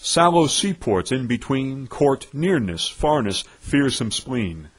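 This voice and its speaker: Husky man declaiming verse, slow and pompous. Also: mid-range voice, very animated. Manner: pompous, declamatory, with dramatic emphasis. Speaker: poetry reader. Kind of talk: theatrical recitation of nonsense verse.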